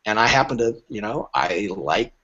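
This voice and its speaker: A man's voice: fairly low pitch, normal speed. A man speaking casually as a literary agent guest on a podcast, telling stories animatedly and acting out bits of dialogue. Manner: animated storyteller who acts out imagined dialogue. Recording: dull, lo-fi podcast audio.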